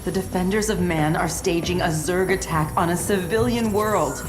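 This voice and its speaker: A woman's voice: lowish voice, moderate pace, natural voice. An actress voicing a tough, focused female covert military agent in a video game, speaking in a clipped, businesslike way. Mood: urgent. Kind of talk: video game cutscene acting. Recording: loud music behind.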